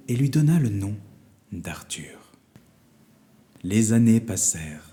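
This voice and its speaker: A man narrating a scripted fairy tale for children as a storyteller, measured and with dramatic pauses. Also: medium pitch, warm tone.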